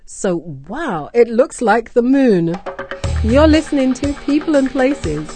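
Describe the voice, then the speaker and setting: A woman's voice: sits high, lively intonation, warm tone, faint music behind. Woman presenting a radio language lesson. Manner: clear, explains phrases deliberately. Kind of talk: scripted educational presenting. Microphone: close studio mic.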